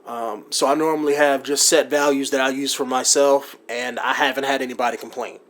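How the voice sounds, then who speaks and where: A man explains his video-editing workflow in a loose, conversational tutorial. His pitch is ordinary for a man. He rambles and fills pauses with ums and you-knows, as if talking over a screen recording. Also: fairly even intonation, a bit bright. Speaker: gaming YouTuber explaining his editing setup. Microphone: USB desktop mic.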